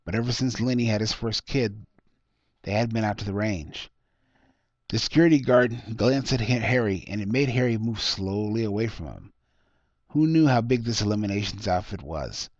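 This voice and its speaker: A man's voice: lowish voice, lively intonation, normal speed, a little mellow, no echo. A man reading his own short story aloud as scripted narration. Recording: dull lo-fi close recording.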